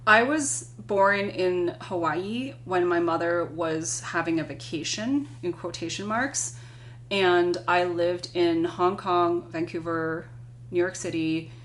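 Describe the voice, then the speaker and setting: A non-binary person in their thirties, a podcast guest, speaks earnestly and reflectively, telling a personal story in a measured way. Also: mid-range voice, fairly bright tone. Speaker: podcast guest, climbing guide. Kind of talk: conversational interview.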